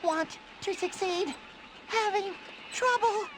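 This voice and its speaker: An actor playing an eager, naive, childlike sea sponge in a cartoon game, with a squeaky, nasal voice. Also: male voice, slow pace. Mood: watchful. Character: excitable, naive young male sea sponge. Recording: loud noise behind the voice.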